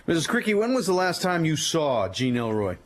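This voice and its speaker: An actor playing a businesslike American homicide sergeant in a radio drama, asking clipped, watchful questions. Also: male voice, on the high side, sing-song, a little fast. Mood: polite, probing. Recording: outdoor noise with traffic behind.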